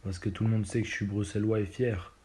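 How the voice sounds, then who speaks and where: A young man. His voice is low and dark, and his pitch stays flat and even. He answers interview questions in a loose, halting way, often pausing and repeating words as he goes. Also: a little hiss or hum. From Belgium. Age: 20s. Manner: hesitant, many fillers and restarts. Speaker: footballer interviewee.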